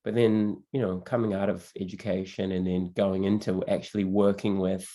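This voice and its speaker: A man's voice: deep voice, little pitch movement, normal speed, mellow. A man hosting a podcast interview, reflective and thoughtful, asking long winding questions. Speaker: podcast host. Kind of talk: conversational interview.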